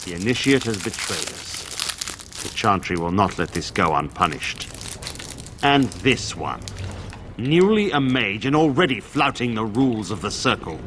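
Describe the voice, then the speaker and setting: A man's voice: on the low side, big pitch swings, unhurried, fairly bright tone, slight background noise. A man playing a wrathful templar knight commander in a fantasy video game, harsh, formal and commanding. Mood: stern, condemning.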